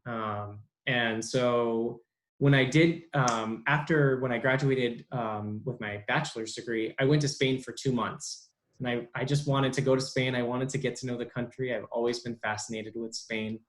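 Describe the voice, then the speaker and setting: A man's voice: mid-range voice, steady tone. Hesitant man recalling his studies. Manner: halting, lots of ums and restarts. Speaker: interview guest, bilingual speech therapist. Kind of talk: casual interview.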